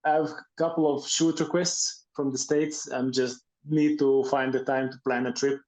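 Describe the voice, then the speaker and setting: A man's voice: mid-range voice, flat delivery, normal speed, darkish tone. A man from Latvia, a guest photographer chatting casually in an interview and often restarting his sentences. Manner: hesitant, many restarts and fillers. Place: Estonia.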